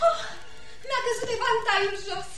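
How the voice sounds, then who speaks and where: A woman's voice: very high-pitched, bright, forceful tone, faint music behind. An actress playing a sweet, lovestruck young niece in a theatrical radio comedy, demure and girlish. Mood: polite, demure. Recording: vintage radio play, faint noise.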